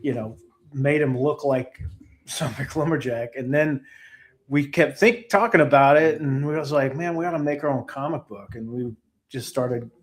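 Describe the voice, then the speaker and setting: A man who makes comic books tells stories as a podcast guest, rambling and self-mocking. His tone stays easygoing, and his voice sits at an ordinary middle pitch. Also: normal speed. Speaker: guest, comic book creator. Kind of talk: casual storytelling.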